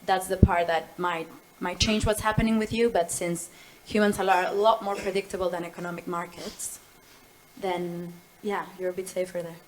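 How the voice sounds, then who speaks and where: A woman's voice: fairly low pitch, fairly even intonation, normal speed. A woman speaking as a panelist in a conference discussion. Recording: clearly noisy live event, clicks.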